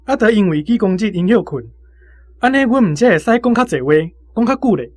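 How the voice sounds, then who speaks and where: A man's voice: sits high, very animated, brisk. A man with a Taiwanese accent playing an earnest, hot-blooded young soldier in a radio drama.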